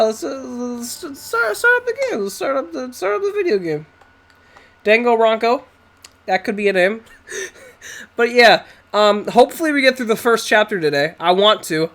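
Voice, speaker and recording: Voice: mid-range voice, fairly bright tone. A streamer with a nasal, cold-stuffed voice, rambling casually to the chat while playing, with frequent laughs. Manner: rambling, chatty, laughs often, talks to the chat. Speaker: Twitch streamer playing a video game.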